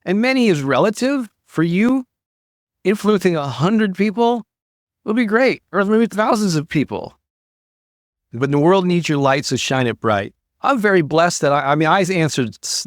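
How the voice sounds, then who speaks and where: A man's voice: mid-range voice, no echo. Encouraging man coaching in a dry, close recording. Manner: encouraging, conversational, addresses listener directly. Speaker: motivational creator and host of an online show. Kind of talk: informal motivational coaching talk to an online audience.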